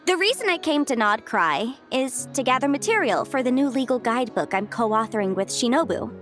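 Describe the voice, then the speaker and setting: Game role: brisk horned young lawyer girl, lively and high. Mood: confident. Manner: brisk, chatty, flustered when dodging questions. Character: young woman legal consultant with adeptal blood and small horns, chatty. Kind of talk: video game character dialogue. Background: game music.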